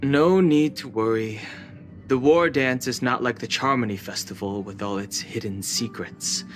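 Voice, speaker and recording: A man's voice: medium pitch, unhurried, lightly acted. A man voicing a reserved young man who explains things in a level, matter-of-fact way in a game cutscene. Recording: game cutscene with faint music.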